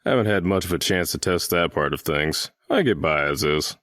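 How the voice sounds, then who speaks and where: A man playing a calm, level-headed young villain team leader in an audio drama, measured and easygoing. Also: deep voice, no room sound, clean studio sound. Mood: calm, casual.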